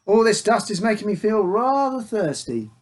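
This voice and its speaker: A man's voice: high-pitched, very animated, moderate pace, voice pushed up. A man voicing an evasive father in a story, curt and guarded under a chummy act. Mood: falsely chummy.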